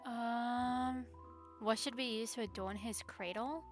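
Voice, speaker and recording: A woman's voice: fairly high pitch, steady tone, slow pace. A woman live-streaming a game, thinking aloud in casual, hesitant commentary. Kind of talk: casual livestream gameplay commentary. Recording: game music behind.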